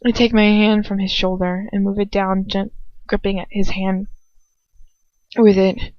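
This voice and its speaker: A woman's voice: mid-range voice, little pitch movement, a little slow, no room sound. A woman narrating first-person fiction as an amateur audiobook reader, in an earnest, emotive, dramatic style. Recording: dull lo-fi home recording.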